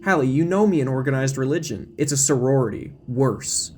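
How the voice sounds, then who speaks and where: A man's voice: mid-range voice, brisk, a little hiss or hum, lightly acted. A man voicing a guarded, weary nineteen-year-old female student with a dry, sarcastic manner in an audio drama. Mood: dry, dismissive.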